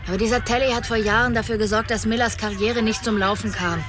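An actress playing a determined, sharp-witted police detective in a radio drama, businesslike and firm. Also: female voice, a little high, fairly even intonation, fast, over music, natural voice. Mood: tense, irritated.

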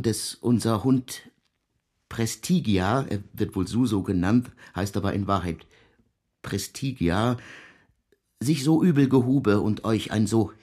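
An actor playing a tormented middle-aged composer in a radio drama, speaking a halting, repetitive, solemn confession in archaic formal language, with a husky voice. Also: male voice, on the low side, a little mellow. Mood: apologetic, halting. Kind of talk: German radio drama monologue.

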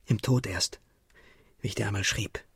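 An actor playing a haunted, guilt-laden young idealist in a radio drama, confessional and intense, with a breathy, husky, creaky voice. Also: male voice, on the low side, fairly even intonation, moderate pace, no echo, full character voice. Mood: brooding, yearning.

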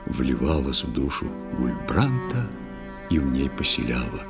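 A man narrating a poetic tale for an audio play in a lyrical, measured, expressive storytelling manner. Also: rumbling low, gravelly. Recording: music under the voice. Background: musical instruments playing.

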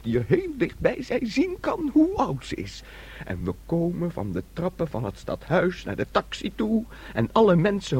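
Radio drama role: a boyish, wistful young man, husky-breathy voice, naive storyteller's delivery. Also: sits high, exaggerated voice. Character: childlike, dreamy young man devoted to Andrea. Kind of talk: Dutch radio play acting.

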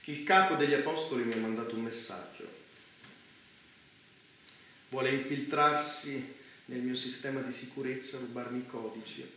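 A megalomaniac man of power, bright and forceful in tone, in a very echoey room. He is cynical and grandiloquent, declaiming his dystopian drama lines with pompous emphasis over faint noise. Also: medium pitch, moderate pace, pauses often. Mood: brooding. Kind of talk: theatrical stage drama dialogue in dystopian play.

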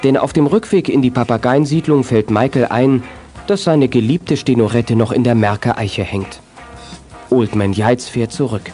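An actor narrating a children's detective radio play as a composed storyteller. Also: male voice, medium pitch, moderate pace, dark tone, soft background music, lightly acted. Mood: calm narrating. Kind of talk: scripted children's audio drama narration.

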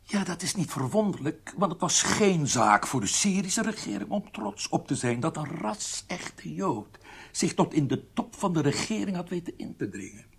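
Formal, menacing military doctor, husky voice. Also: male voice, high-pitched, full character voice. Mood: contemptuous. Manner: measured, formal, pointedly polite. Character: formal, menacing Egyptian military prison doctor interrogating a spy. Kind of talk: radio drama acting.

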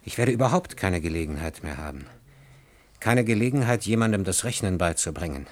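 A resolute professor with a lowish voice, solemn and deliberate. His words are clipped and carry quiet despair, in the manner of a 1960s science-fiction radio play. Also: expressive. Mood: grave, resigned.

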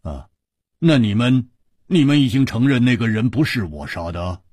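A man's voice: medium pitch, big pitch swings, normal speed, a little mellow, breathy edge, dry recording, clean studio sound. A man voicing a cocky, hot-tempered swordsman in an audiobook, drawling and teasing.

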